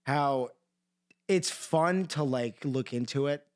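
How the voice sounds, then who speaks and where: A young man on a casual podcast who sounds tired. He rambles, swears a lot and leans on filler words, and his pitch sits in an ordinary middle range. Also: slow pace. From Iran. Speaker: podcast co-host. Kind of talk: casual chat.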